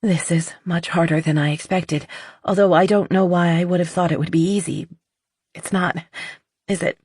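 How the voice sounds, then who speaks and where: An audiobook narrator voicing a nervous, earnest middle-aged woman confessing, with a halting, shaky delivery. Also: medium pitch, steady tone, a little mellow, slightly rough, dry recording, studio quality, voice pushed up. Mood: nervous, tearful.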